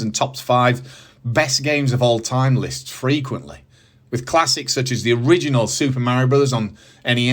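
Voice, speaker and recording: A middle-aged man with a British accent, presenting a scripted review with casual asides and emphatic, enthusiastic phrasing. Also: mid-range voice. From United Kingdom. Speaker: host of a movie review channel.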